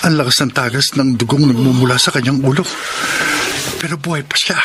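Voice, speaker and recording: An actor playing a hardworking, kind-hearted husband in a classic radio drama, speaking earnestly. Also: male voice, medium pitch, fairly quick, heavy background noise, natural voice. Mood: alarmed. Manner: earnest, rising to agitation.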